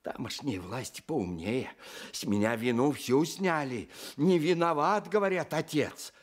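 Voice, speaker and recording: A man's voice: medium pitch, expressive, a little slow. An actor playing a pious, sorrowful old village stove-builder in a radio play, telling his story earnestly with folksy sighs. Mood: sighing, lamenting. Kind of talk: Soviet radio play acting.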